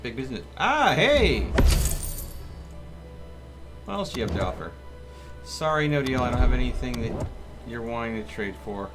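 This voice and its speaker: A man's voice: mid-range voice, very animated, moderate pace, pauses often. A man giving casual live gameplay commentary as a streamer, muttering to himself and reading game text with some drama. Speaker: gaming streamer. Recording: music behind the voice. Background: game music.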